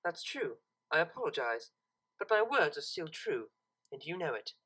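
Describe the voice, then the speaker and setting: A woman's voice: deep voice, very animated, moderate pace, a bit bright, breathy edge, full character voice. A woman voicing a refined young cartoon mare who speaks formally and with cool disapproval. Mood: stern, lecturing. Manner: formal, articulate, lecturing.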